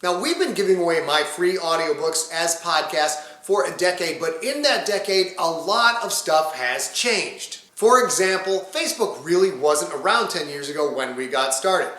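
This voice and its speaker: A man with a high, forceful voice addresses listeners as a podcasting author. His delivery is playful and emphatic, like an upbeat promo, and the room adds noticeable echo. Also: expressive, normal speed, few pauses. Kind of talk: upbeat promotional announcement and casual banter.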